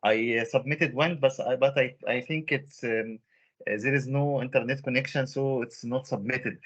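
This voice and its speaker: A male student who speaks in a halting, apologetic way over a video call. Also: mid-range voice, fairly even intonation, fairly bright tone.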